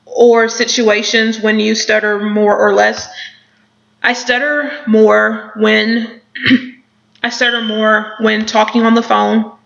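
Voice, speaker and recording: Young woman, stutters with repetitions and blocks, thoughtful, gravelly fry, dull lo-fi sound. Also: a little high, a bit bright. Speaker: person who stutters answering questions. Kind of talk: self-interview, reading questions and answering.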